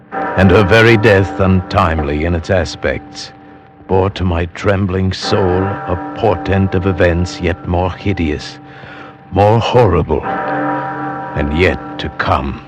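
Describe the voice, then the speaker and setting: A very low-voiced, grave gentleman, telling a gothic horror tale in vintage radio drama style. He is measured, solemn and full of foreboding, with music clearly underneath. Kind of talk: classic radio drama narration and acting.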